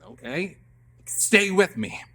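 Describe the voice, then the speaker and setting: A man's voice: high-pitched, sing-song, slow pace, pushed and bright, husky, no echo, pitched above the performer's own voice, full character voice. A man voicing a wary, conspiratorial saloon bartender in an improvised radio western.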